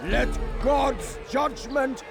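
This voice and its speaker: An actor playing a cruel, power-hungry executioner in a video game, with a theatrical, declamatory delivery. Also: male voice, very high-pitched, steady tone, extremely slow, gravelly, exaggerated voice. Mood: fervent, commanding. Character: fanatical male executioner, cruel, power-hungry demagogue rousing a crowd. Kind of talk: dramatic video game voice acting. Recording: loud music and crowd behind.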